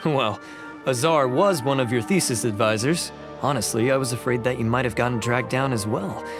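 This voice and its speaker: A man's voice: mid-range voice, normal speed, music in the background, close to an everyday voice. A man voicing a friendly, concerned academy colleague in a video game, conversational and inquisitive. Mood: curious, concerned. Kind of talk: video game character dialogue.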